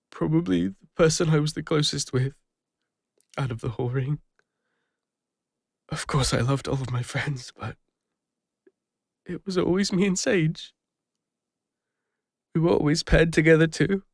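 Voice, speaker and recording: A man plays a vulnerable, regretful fantasy adventurer with an English accent. His voice is a little high for a man and often breaks into creak. He confides hesitantly in an intimate audio roleplay, recorded very dry. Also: dry recording. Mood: wistful, tender. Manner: hesitant, confessional, halting with pauses.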